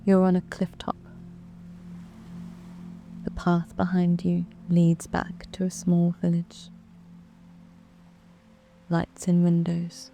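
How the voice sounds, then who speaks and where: A woman with a British accent narrating a guided sleep meditation in a soft, calm, soothing way, with long measured pauses. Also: on the low side, vocal fry. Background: background music.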